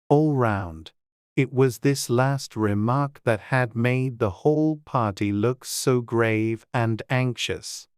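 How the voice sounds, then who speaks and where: A man gives a measured, scripted storybook narration. His voice is smooth and resonant at a medium pitch, with crisp enunciation. The recording is very dry. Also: darkish tone, no room sound. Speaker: audiobook narrator.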